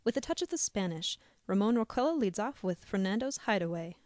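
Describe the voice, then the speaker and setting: A woman's voice: mid-range voice, very animated, a little fast, a little mellow, no echo, studio quality, natural voice. A woman playing a gracious dance-band announcer in a 1930s-style radio drama, formal and presentational. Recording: noisy recording with clicks.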